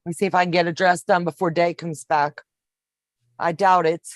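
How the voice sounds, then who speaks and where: A woman in her fifties hosting a sewing livestream, chatting warmly with viewers and explaining each step as she works. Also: lowish voice, fairly even intonation, fairly quick, dry recording, clean studio sound. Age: 50s. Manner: homey, calls viewers baby, narrates each step. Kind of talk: casual sewing demonstration chat.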